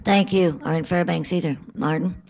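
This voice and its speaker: Woman hearing chair, low-pitched, firm and procedural, dull lo-fi recording. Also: normal speed, a little mellow. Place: public hearing room.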